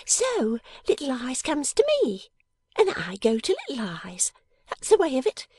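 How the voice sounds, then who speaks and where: Shrill, bossy young dressmaker. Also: female voice, sing-song, measured pace, darkish tone, husky, no room sound, exaggerated voice. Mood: excited, scheming. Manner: emphatic, scolding, theatrical exclamations. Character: sharp, impatient, bossy young woman dolls' dressmaker. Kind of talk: dramatised audiobook character reading.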